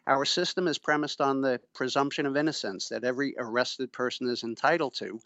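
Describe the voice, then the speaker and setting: A middle-aged man giving measured, formal and emphatic explanations as an expert guest in an interview. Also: mid-range voice, a bit bright, no room sound, highs cut off. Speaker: interviewee, pretrial services official and former prosecutor. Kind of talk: explanatory radio interview answers.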